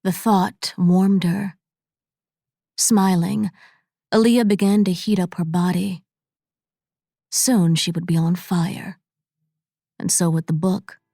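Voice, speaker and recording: Slow, mellow woman narrator. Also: fairly low pitch, fairly even intonation, gravelly, dry recording, studio quality. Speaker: audiobook narrator. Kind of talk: scripted fiction narration. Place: dry studio.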